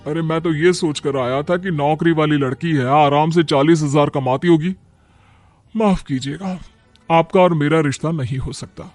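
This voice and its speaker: A man playing an arrogant, money-minded suitor in his mid-thirties in an animated moral story, condescending and prying. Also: a little high, moderate pace, dry recording, faint music behind, lightly acted. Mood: disdainful.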